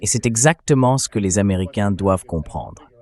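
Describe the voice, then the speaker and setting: A man's voice: fairly low pitch, lively intonation, fairly quick, dark tone. A man's synthetic AI voice-over delivering conversational, explanatory political commentary as a dubbed interview.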